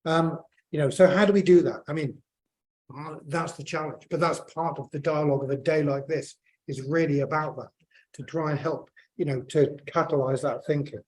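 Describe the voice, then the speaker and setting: A man's voice: a little high, steady tone, normal speed, a little mellow. A man, speaking at a panel as a plant scientist, hesitant and full of fillers and restarts. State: croaky voice. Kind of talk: unscripted panel remarks.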